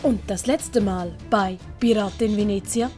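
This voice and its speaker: A woman's voice: medium pitch, a little slow, dark tone, faint music behind. A woman reading scripted story narration for an audio play.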